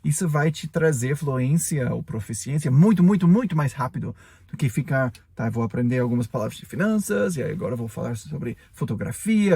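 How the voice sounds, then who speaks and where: A man's voice: fairly high pitch, a little mellow, no room sound. A native English-speaking man in his forties, an English teacher giving a chatty podcast lesson in Portuguese, often tagging his sentences with little check-ins. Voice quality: gravelly, husky.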